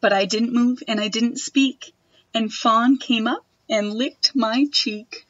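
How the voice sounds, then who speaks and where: A young woman reading a picture book aloud to children in a gentle, expressive manner. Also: fairly high pitch, takes it slowly, dry recording, highs cut off. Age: young adult. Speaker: storytime reader. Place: wood-panelled room at home.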